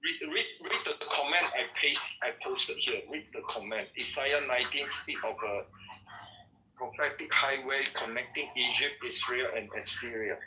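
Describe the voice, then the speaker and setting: A man's voice: mid-range voice, moderate pace, bright, forceful tone, rough recording. Man pleading for patience in a debate. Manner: broken phrasing, keeps asking for patience. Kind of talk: heated online debate.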